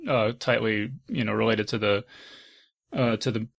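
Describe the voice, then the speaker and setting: A man with a mid-pitched voice hosts a casual panel chat. His delivery is conversational and halting, as he works through each thought aloud. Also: fairly even intonation, creaky, a bit bright. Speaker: podcast host.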